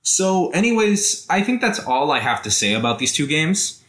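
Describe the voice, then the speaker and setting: A man's voice: a little high, very animated, moderate pace, a bit bright, roomy sound. A young man hosting a casual solo podcast, rambling and hesitant with many fillers, his voice tired from a sore throat. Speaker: podcast host.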